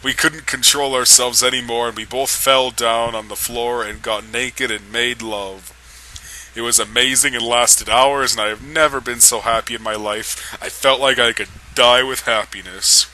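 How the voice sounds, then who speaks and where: A man's voice: medium pitch, fairly even intonation, moderate pace, pushed and bright, a little hiss or hum, low-quality recording. A man giving a dramatic reading of amateur fan fiction, amused and often struggling to keep from laughing. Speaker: reader of a comedic fan fiction read-aloud.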